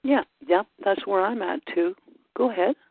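A woman hosts a casual Bible discussion, talking unhurriedly and pausing with ums as she looks up verses. Her voice sits at a middling pitch. It comes through a narrow, phone-like line with no room sound. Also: creaky, no echo. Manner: unhurried, thinks aloud with many ums. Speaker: host. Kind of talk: informal Bible study chat. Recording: dry, tinny phone-like line.

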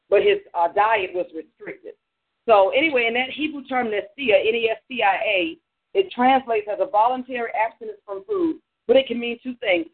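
A man's voice: high-pitched, breathy edge. Congested man teaching, over a phone line. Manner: loose, filler-heavy teaching. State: congested from allergies. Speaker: pastor teaching a prayer class. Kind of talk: church class lecture with prayer. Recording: narrow phone-line sound on a talk stream.

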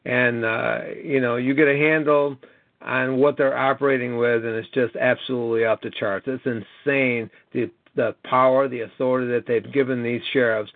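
A man's voice: mid-range voice, fairly even intonation, normal speed, vocal fry, no room sound. An American man in his late sixties talking on a conference call in a rambling, earnest way, often punctuating points with an emphatic "okay?". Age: late 60s. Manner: emphatic, repeatedly checking with "okay?". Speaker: caller. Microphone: telephone. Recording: narrow, tinny phone line.

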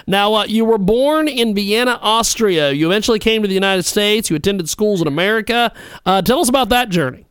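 A man's voice: high-pitched, expressive, brisk, a bit bright, clean tone, no echo. A man hosting a radio broadcast, introducing his guest in an upbeat, promotional way. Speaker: radio host.

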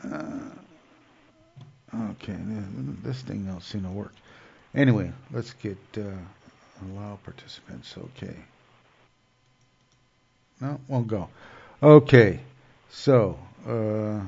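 A man's voice: on the low side, slow pace, gravelly, pauses often, a little mellow, breathy edge. A man with a cold and a stuffed-up nose, hosting a show in a rambling casual monologue full of fillers and restarts. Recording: dull lo-fi sound.

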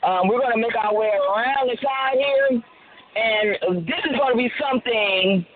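A man's voice: very high-pitched, normal speed. A man from Colombia reporting live from a city street, speaking in a casual, rambling way with many fillers. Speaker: livestream street interviewer. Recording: tinny phone-like livestream audio.

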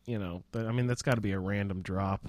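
A man's voice: lowish voice, steady tone, fast, no room sound. A man chatting informally as a panelist on a casual gaming roundtable podcast. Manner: informal, mildly profane.

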